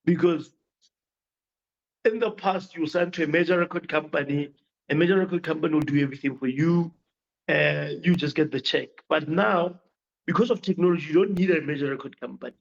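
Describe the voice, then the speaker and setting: Creaky male interview guest, dull line. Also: a little high, a little fast. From Botswana. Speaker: interview guest, music business expert. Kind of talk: unscripted explanatory interview talk. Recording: dull, lo-fi remote connection.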